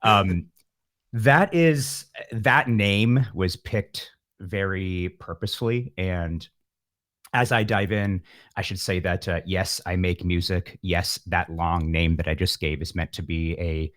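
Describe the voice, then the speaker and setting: A man's voice: sits low, slow pace, a bit bright, no echo, studio quality. A man talking casually as an interview guest, musing in a reflective, wordy, rambling way. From San Francisco Bay Area, California. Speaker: guest, musician. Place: home setup, remote call.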